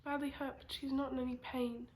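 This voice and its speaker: A woman's voice: sits high, little pitch movement, brisk, darkish tone, lightly acted. A young woman in an amateur stage play acting a formal, apologetic company messenger with a stiff manner. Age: young adult. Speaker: student actor.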